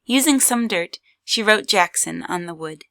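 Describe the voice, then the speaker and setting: A woman's voice: mid-range voice, animated, measured pace, creaky, fairly bright tone. A woman reading scripted third-person story narration as the narrator of an audio drama.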